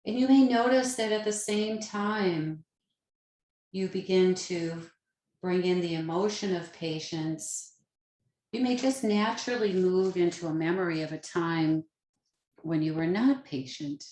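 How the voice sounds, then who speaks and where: A woman with a fairly low voice guides a meditation in a roomy, echoing space. She speaks very slowly and gently, leaving pauses between short phrases. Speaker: yoga nidra meditation teacher.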